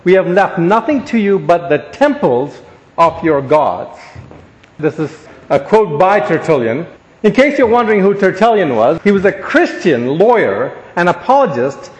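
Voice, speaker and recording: A man with a fairly high voice tells jokes at his own expense while speaking to an audience. The sound carries the long echo of a large hall. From born in Ghana, grew up in Africa, Asia and the Caribbean. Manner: self-deprecating, joking, storytelling. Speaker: guest speaker. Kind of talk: humorous speech to an audience. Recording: echoey hall.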